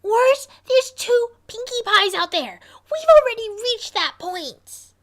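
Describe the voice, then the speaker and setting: Shrill, brash pony mare. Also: male voice, big pitch swings, takes it slowly, full character voice. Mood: exasperated. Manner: shouting, falsetto.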